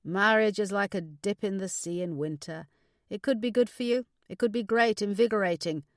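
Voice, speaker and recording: A woman voicing a kindly older father who gives measured, reassuring, philosophical advice in an audiobook. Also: mid-range voice, dry recording, studio quality, pitched above the performer's own voice, natural voice. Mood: earnest, reassuring. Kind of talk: audiobook character dialogue.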